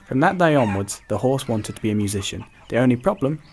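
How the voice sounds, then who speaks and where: A man's voice: mid-range voice, lively intonation, fast talker, a little hiss or hum. A man reading scripted narration for an animal story.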